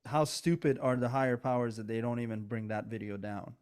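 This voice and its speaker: A man's voice: medium pitch, fairly even intonation, fairly quick, a little mellow, clean tone. A man with a Persian accent, co-hosting a podcast in casual, earnest talk full of restarts and asides. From Iran. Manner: earnest, rambling, many restarts. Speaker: podcast co-host. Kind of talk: casual political chat.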